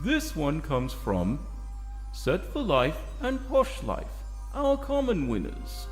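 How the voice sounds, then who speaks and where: High, swooping pompous royal chamberlain pony. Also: male voice, wildly swooping pitch, slow, heavily acted. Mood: formal, deferential. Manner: formal, stately, theatrical. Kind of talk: dramatic fan fiction reading. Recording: long hall reverb over music.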